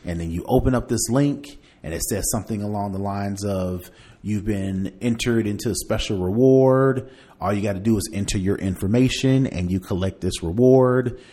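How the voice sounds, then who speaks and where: A man's voice: fairly low pitch, normal speed, warm tone. A man hosting a podcast, chatting casually through tech news and partly reading aloud, in a conversational way. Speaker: podcast host.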